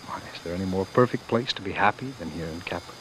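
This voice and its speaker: An actor playing a weary, defiant former world ruler in love, with halting, theatrical delivery in the style of old radio drama. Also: male voice, sits low, fairly bright tone. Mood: tender, reassuring. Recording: noisy vintage radio broadcast.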